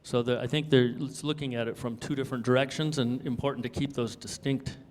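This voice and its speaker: A man's voice: mid-range voice, steady tone, fairly quick, a little mellow, big-room sound. A man on a panel, explaining his views and thinking aloud as he goes. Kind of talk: panel discussion.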